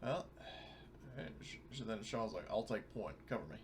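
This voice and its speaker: A man's voice: fairly low pitch, animated, moderate pace. A man playing a tabletop roleplaying game, chatting in a casual, jokey way about his character's moves. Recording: faint background noise.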